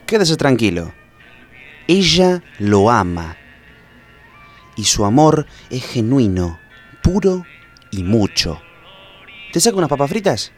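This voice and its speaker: A man's voice: medium pitch, sing-song, slow pace, long pauses, faint music behind. An Argentine man playing a bumbling, self-satisfied private detective in a comedy radio play, pompous and absent-minded. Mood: casually smug.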